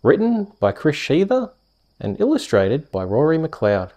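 An Australian man hosting and reading bedtime stories in a soft, soothing, unhurried ASMR storytelling manner. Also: on the high side, wildly swooping pitch. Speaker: host reading bedtime stories on a video channel.

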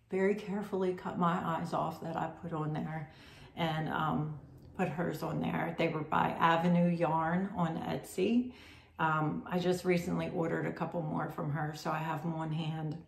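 Chatty woman with a gravelly voice. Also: sits low. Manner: rambling, chatty, many ums and self-corrections. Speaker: crochet podcast host. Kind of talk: casual chat to camera. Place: home room with red striped wallpaper, indoors. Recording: roomy echo.

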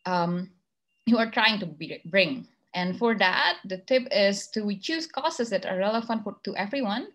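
A woman's voice: mid-range voice, lively intonation, a little slow, fairly bright tone. A young woman with an Indonesian accent giving a slide presentation over a video call, hesitant with many fillers and restarts. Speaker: masterclass speaker.

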